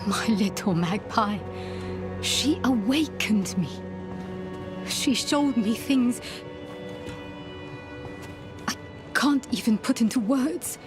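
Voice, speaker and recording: A woman playing a devout, obsessed scholar mother in a fantasy game cutscene, halting and fervent in her delivery. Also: fairly high pitch. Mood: fervent, entranced. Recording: cinematic music behind.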